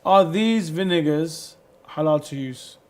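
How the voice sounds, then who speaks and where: Casting: male TV presenter reading viewer questions to a sheikh, lively delivery, a bit high-pitched. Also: a little slow. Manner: lively, reads messages aloud, stumbles on words. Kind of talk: live call-in Q&A hosting.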